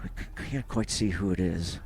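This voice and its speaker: A man's voice: low voice, normal speed, a little mellow. An actor playing a male radio field reporter describing events live, in breathless old-time radio drama style. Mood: tense. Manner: breathless eyewitness narration. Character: male radio reporter at a crash site, panicking. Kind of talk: 1930s radio drama acting. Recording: noisy old radio recording.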